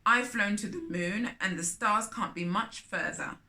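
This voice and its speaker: A woman's voice: mid-range voice, unhurried, bright, forceful tone. A woman voicing an eager, determined little rocket ship in a children's storybook, speaking in insistent exclamations. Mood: defiant.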